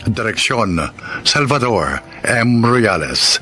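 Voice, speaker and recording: A man announces a radio drama over clearly audible music. His delivery is slow, formal and theatrical, with big swings in pitch. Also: sing-song, sharp tone.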